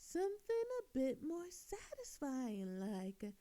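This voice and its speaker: A woman's voice: high-pitched, sing-song, slow pace, warm tone, full character voice. A woman playing a smug, flirtatious master thief in an audio roleplay, with a teasing, coy and mock-innocent manner. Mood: sly, smug. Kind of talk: scripted audio roleplay monologue.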